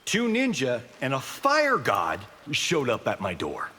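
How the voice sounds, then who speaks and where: An actor playing a cocky, glib Hollywood action star in a fighting game, breezy, showy and wisecracking. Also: male voice, medium pitch, wildly swooping pitch, unhurried, long reverb tail, heavy background noise. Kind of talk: video game pre-fight banter.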